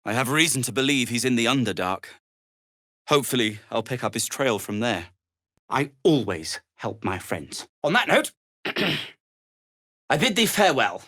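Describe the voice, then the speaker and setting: Proud, indignant gnome, swooping delivery. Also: male voice, mid-range voice, sing-song, measured pace, pauses often, bright, forceful tone, heavily acted. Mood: solemn, hopeful. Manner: wry, formal, theatrically indignant. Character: proud, grumbling deep gnome man, sardonic and loyal. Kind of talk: scripted video game character lines.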